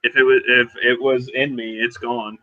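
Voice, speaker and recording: A man playing a haunted, uneasy werewolf who escaped captivity, speaking plainly and haltingly in a roleplaying game. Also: medium pitch, flat delivery, narrow, tinny audio, close to an everyday voice. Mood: earnest, halting.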